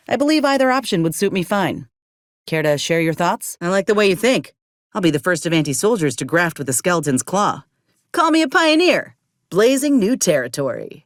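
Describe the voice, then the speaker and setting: A woman's voice: low voice, no echo, clean studio sound. An actress playing an earnest, determined young woman soldier with folksy rural turns of phrase in a video game. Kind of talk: video game character dialogue.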